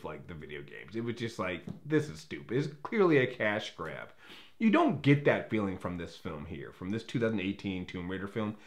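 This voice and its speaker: Low-voiced man giving a rambling film review. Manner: rambling, self-correcting, repeats words as he searches for phrasing; blunt, casually profane. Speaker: movie reviewer. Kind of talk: casual opinionated review talk.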